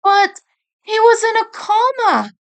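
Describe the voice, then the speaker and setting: A woman's voice: very high-pitched, animated, takes it slowly, voice pushed up, full character voice. A woman voicing an upset, tearful teenage boy turtle ninja who whines stubbornly in a fan story reading. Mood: whining protest. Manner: whining, stubborn, sulky.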